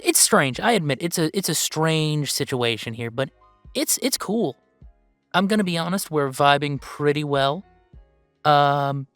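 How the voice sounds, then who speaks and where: A man playing an upbeat, earnest video game streamer who chats casually and haltingly in a livestream roleplay. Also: a little high, dry recording. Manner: halting, rambling, sighing, earnest.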